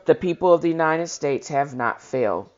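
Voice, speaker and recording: Dull lo-fi recording of a halting reader. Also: mid-range voice, expressive. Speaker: host of a reading show. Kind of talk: reading a historical speech aloud with informal commentary. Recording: dull lo-fi sound.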